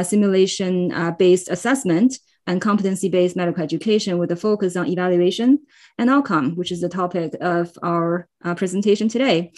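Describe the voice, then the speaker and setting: A woman hosting a webinar, reading out a speaker introduction in a halting, hesitant way over a video call microphone. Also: lowish voice, darkish tone.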